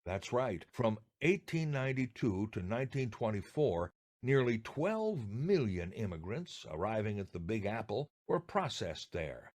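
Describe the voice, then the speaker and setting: A man's voice: fairly low pitch, sing-song, unhurried, darkish tone. An older American man co-hosting a podcast, presenting scripted material with theatrical, emphatic phrasing. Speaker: podcast co-host. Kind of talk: scripted radio-style presenting.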